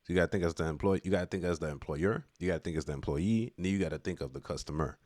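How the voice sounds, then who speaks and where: A man with a low-pitched voice talks off the cuff, giving his opinions in loose, slangy speech. He repeats phrases to press his point and speaks emphatically. The sound is dry, with hardly any room echo. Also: rapid-fire, a little mellow. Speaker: commentator on current affairs. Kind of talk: casual unscripted opinion commentary.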